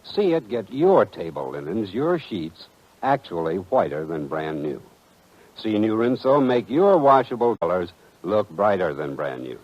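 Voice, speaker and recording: Male 1940s radio pitchman: lowish, very lively intonation, husky, persuasive, muffled vintage recording. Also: unhurried. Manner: direct, persuasive address to the listener. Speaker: announcer.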